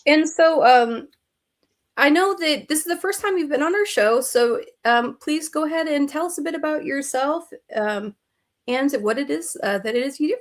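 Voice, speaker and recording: A woman's voice: high voice, normal speed. A woman hosting a podcast, who chats in a casual way and laughs easily. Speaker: podcast host. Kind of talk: casual chat, interview.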